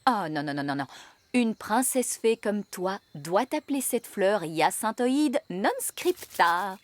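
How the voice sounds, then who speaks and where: A woman's voice: mid-range voice, animated, takes it slowly, no room sound. A woman voicing a prim, bossy, snobbish fairy nanny in a children's cartoon, speaking like a pointed schoolmistress. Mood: instructing. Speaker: nanny and tutor character. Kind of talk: animated children's cartoon dub.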